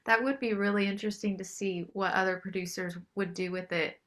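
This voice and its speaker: A woman's voice: medium pitch, steady tone, brisk, fairly bright tone. A woman chatting casually as an interview guest, appreciative and agreeable in manner. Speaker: singer-songwriter guest.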